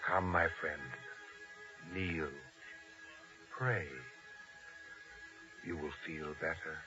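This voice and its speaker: An actor playing a gentle, pious man in a 1950s radio drama. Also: sits low, fairly even intonation, drawn-out words. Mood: gentle, reverent. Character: gentle, pious man inviting a friend to prayer. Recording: dull old radio recording with music.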